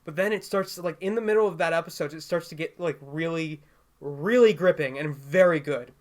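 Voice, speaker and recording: A man's voice: a little high, fairly quick. A man co-hosting a casual podcast, rambling with lots of fillers and laughing easily. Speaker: podcast co-host. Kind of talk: casual chat.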